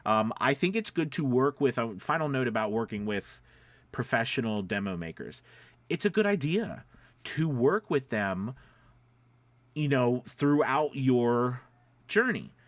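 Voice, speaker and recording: A man's voice: mid-range voice, animated, unhurried, dry recording. A man giving an informal, encouraging lesson as a voice-over coach, conversational and rambling, often checking in with his listeners. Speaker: voice-over coach and demo maker. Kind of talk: informal instructional talk to viewers.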